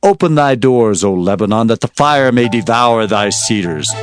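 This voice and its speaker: An actor playing a fervent biblical prophet, declaiming solemn oracles in a dramatized scripture reading. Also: male voice, mid-range voice, lively intonation, moderate pace, natural voice. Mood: lamenting, urgent. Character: fervent male Old Testament prophet proclaiming oracles. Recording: music behind the voice.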